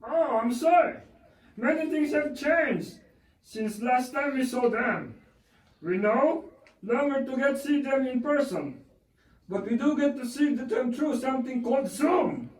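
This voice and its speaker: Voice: sits high, animated, a little slow. A man voicing a polite, cheerful monkey puppet as a guest on a scripted puppet show, reading his answers carefully. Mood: polite, earnest. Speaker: interviewed guest who works in a day program. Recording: echoey room, far from mic.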